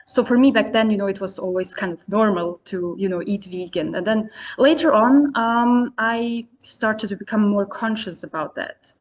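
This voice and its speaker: A woman originally from Serbia talks through her story in relaxed, conversational English. She hesitates often, leaning on "you know" and restarting sentences as she goes. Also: medium pitch, moderate pace, hardly any pauses. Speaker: podcast guest, community founder. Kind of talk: casual interview answers.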